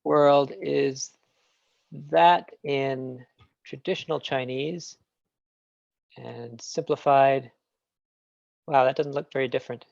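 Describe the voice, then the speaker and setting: A man's voice: medium pitch, steady tone, takes it slowly, creaky, pauses often, a little mellow, dry recording. Canadian man, tech speaker on a call, musing, hesitant, muffled dry mic. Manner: thinking aloud, hesitant with fillers and laughs. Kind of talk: casual Q&A answers.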